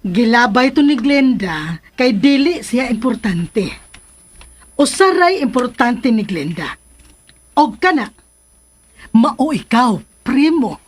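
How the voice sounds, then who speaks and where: A woman's voice: a little high, very animated, takes it slowly, pauses often, breathy edge, heavily acted. A woman playing a teasing, caring mother of a grown son in a radio drama, with a Cebuano Filipino accent. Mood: earnest, motherly. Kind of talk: Philippine radio drama acting.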